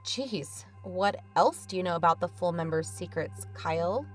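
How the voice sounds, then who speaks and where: A woman's voice: fairly low pitch, animated, normal speed, soft background music, natural voice. An actress playing a casual, pragmatic investigator in a naturalistic fiction podcast, questioning her colleagues conversationally.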